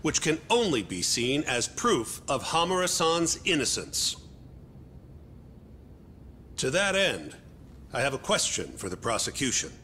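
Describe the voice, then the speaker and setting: A man's voice: medium pitch, very animated, measured pace, long pauses, sharp tone, a little hiss or hum. A man playing a composed, methodical defense attorney in a video game, polite and probing in his delivery. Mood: measured, probing. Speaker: defense lawyer. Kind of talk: English-dub video game cutscene acting.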